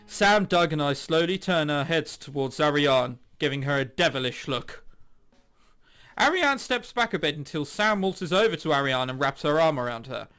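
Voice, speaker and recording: A man's voice: fairly high pitch, lively intonation, normal speed, a bit bright, clear, resonant tone. A man doing a playful let's play, reading a visual novel aloud with dramatized lines and jokey, sweary asides. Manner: acts out dialogue in exaggerated voices.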